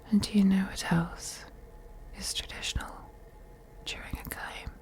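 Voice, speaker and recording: A woman's voice: mid-range voice, level tone, darkish tone. A woman playing a wealthy, possessive female vampire in an intimate audio roleplay, speaking in a whispery, teasing, condescending way. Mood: seductive, hushed. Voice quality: breathy, whispery. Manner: slow, purring, condescending, deliberate.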